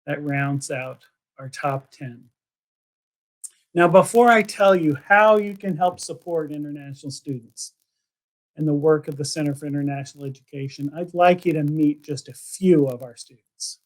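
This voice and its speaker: A man's voice: on the high side, moderate pace, low-quality recording. A middle-aged man giving a prepared presentation to camera as a host. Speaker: host introducing a student video. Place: book-lined office, indoors.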